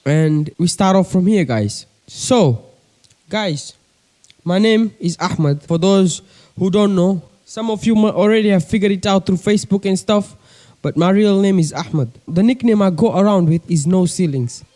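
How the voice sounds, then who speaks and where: Casting note: young man, coastal Kenyan accent, laid-back vlogger chat, higher pitched, soft mellow tone, homemade bedroom recording. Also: measured pace. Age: young adult. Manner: chatty, keeps addressing viewers as guys. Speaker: pigeon-keeping vlogger. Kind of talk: casual chat to camera. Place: bedroom with a desk.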